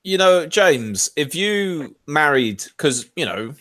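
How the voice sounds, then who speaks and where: A man chatting on a podcast in an animated, joking way. His pitch swoops about and sits a little high. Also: takes it slowly. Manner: animated, jokey, slips into silly voices. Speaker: podcast co-host. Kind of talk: casual chat.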